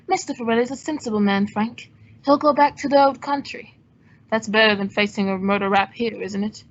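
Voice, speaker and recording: A shrewd, unruffled gentleman sleuth acted by a woman speaks in a somewhat high voice. The delivery is wry and assured, as in an old radio mystery, on a dull recording. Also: fairly even intonation, a little fast, natural voice. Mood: calm, assured. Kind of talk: old-time radio drama acting. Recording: dull, muffled lo-fi audio.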